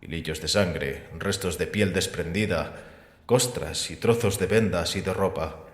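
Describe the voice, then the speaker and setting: A man acting in a Spanish audio drama as a calm, sceptical, erudite figure, explaining in a measured, gently mocking way with rhetorical questions. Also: low-pitched. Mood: wry, disdainful. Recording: echoey hall with long reverb.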